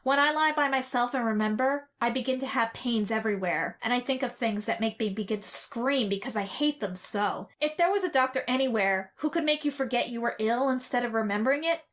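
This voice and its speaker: A woman's voice: a little high, a little fast, hardly any pauses, a bit bright, natural voice. A woman playing a spoiled, sickly and demanding young boy in an audio drama. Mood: fretful.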